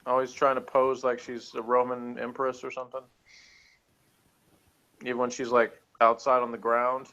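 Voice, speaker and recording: A man with a medium-pitched voice chats casually as a review co-host. His delivery is sarcastic, with a mock-exasperated edge. The audio is dull and lo-fi. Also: monotone, normal speed, pauses often, a bit bright. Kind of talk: casual chat, episode review.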